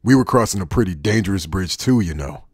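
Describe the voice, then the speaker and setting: Anime outlaw male actor: deep voice, cool and tough, plain factual delivery, dry close sound. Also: expressive, a little fast, a little mellow, slightly rough, no echo, full character voice. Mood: cool explaining. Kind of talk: anime fan dub acting.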